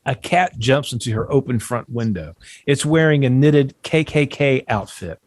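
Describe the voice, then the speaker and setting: Man, middle pitch, scripted narration, screenplay directions, table read, close dry sound. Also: animated, moderate pace, a little mellow, dry recording. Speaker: stage directions reader.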